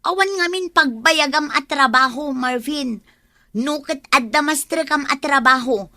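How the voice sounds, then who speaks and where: A woman's voice: sits high, a little slow, bright, forceful tone, dry recording, studio quality, exaggerated voice. A woman acting a hard-up young mother in a radio drama, pleading and plaintive, with an Ilocano accent. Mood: reproachful, weary.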